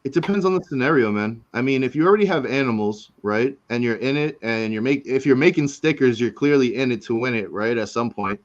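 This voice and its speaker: A man's voice: medium pitch, fairly quick, hardly any pauses, muffled recording. A man in his forties hosting a casual live chat, talking in a loose, rambling way and often tagging his sentences with "right?" and "man". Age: 40s. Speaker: host.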